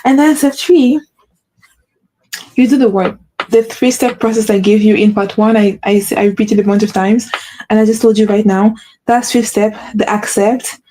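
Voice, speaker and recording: A woman with a mellow, soft-edged voice talks straight to her listeners as a spiritual coach, circling back over the same points and asking "right?" as she goes. She mentions a stutter and corrects herself often. There is a faint hiss behind her. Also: medium pitch, fairly even intonation, moderate pace, rough recording. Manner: earnest, repetitive, with frequent "right?" check-ins and self-corrections. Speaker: podcast host and spiritual coach. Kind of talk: solo motivational talk.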